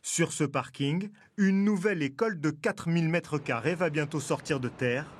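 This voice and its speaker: A man narrating a television news report in a measured, scripted voice-over. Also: on the high side, slight background noise.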